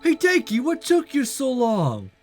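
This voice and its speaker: A sarcastic teenage girl from a visual novel, acted out by a man. The voice sits high and swings about in pitch. The manner is brash and teasing. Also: wildly swooping pitch, moderate pace, soft background music, heavily acted.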